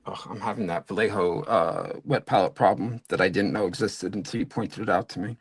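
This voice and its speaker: A man's voice: on the low side, a little fast, breathy edge, dry recording. A man from Long Island with a New York accent, chatting casually and rambling while painting miniatures on a stream. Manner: rambling, lots of fillers and self-corrections. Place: home studio at a hobby desk.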